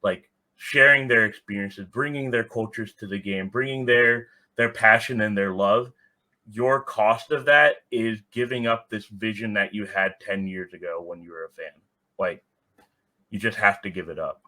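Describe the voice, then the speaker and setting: Male podcast guest, lowish pitch, bright forceful edge, blunt and casual. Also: normal speed. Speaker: podcast guest, soccer fan. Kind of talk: casual opinionated talk.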